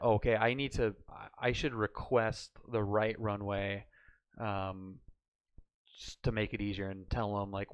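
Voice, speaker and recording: A man's voice: on the low side, smooth, dull audio. A man chatting casually on a live stream, talking through hypothetical situations as he works them out. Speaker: flight sim streamer learning radio procedures.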